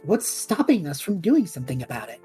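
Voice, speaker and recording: Actress as a restless young man in an audio drama, soft dark tone, rough-edged, earnest. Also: sits low, big pitch swings, fairly quick, soft background music, full character voice. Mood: determined. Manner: casual, hesitant, earnest. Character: restless, idealistic young adult male traveler between worlds. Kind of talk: scripted audio drama acting.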